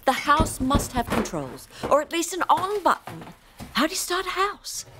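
Older heroine, exasperated, unflappable, animated, with noisy ambience behind. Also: female voice, high voice, moderate pace. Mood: matter-of-fact. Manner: dry, exasperated. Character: dry, irritable older woman, unflappable scientific adventurer. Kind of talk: sci-fi comedy audio drama.

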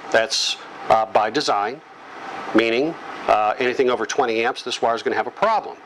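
A middle-aged American man giving an instructional lecture, explaining in short, measured phrases. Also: mid-range voice, lively intonation, fairly bright tone, slight background noise, rough recording. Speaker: electrical training instructor. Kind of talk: instructional lecture read from slides. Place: small office or classroom with whiteboard, indoors. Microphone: lapel microphone.

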